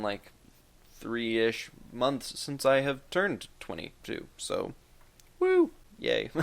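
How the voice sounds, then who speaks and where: A man in his twenties answering viewer questions in a casual, rambling, joking vlog. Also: medium pitch. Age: 20s. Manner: rambling, joking, many fillers. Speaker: YouTube gaming creator.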